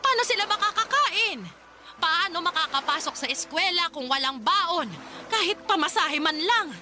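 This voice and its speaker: A woman's voice: very high-pitched, lively intonation, moderate pace, background noise. A woman voicing a stern grandmother who scolds her grieving, drinking son, reproachful in a radio drama. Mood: pleading. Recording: music bed underneath.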